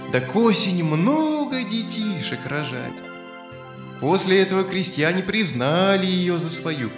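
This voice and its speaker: A man narrating children's fairy tales as a storyteller, in an expressive scripted style. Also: sits high, reverberant.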